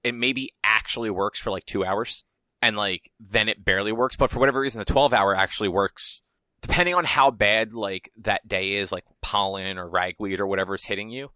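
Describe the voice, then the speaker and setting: Bright-voiced man chatting, dry sound. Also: fairly low pitch, a little fast, no room sound. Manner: laid-back, rambling, swears casually. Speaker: podcast co-host. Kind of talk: casual chat.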